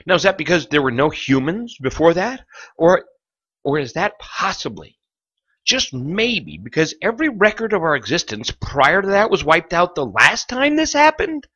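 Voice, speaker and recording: A man narrating a lecture in a theatrical, suspenseful documentary style, pausing for effect between phrases. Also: fairly high pitch, sing-song, pushed and bright, husky, dull audio. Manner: theatrical, with rhetorical questions and suspenseful pauses.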